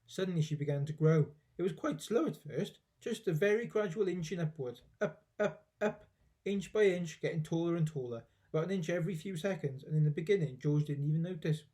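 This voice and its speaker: A man reading a children's story aloud in an expressive storybook narration style. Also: fairly high pitch, a little fast.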